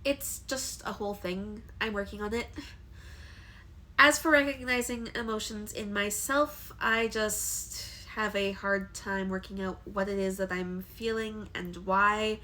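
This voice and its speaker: A woman's voice: medium pitch, bright, forceful tone. A young woman with a congested voice from allergies, talking candidly to camera in a personal vlog, hesitant with frequent ums. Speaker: vlogger.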